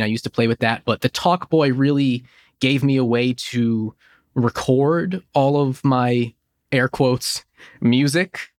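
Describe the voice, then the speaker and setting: A man's voice: mid-range voice, no echo. Dry-sounding man chatting nostalgically. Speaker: podcast host. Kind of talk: nostalgic casual chat. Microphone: close studio microphone in home office.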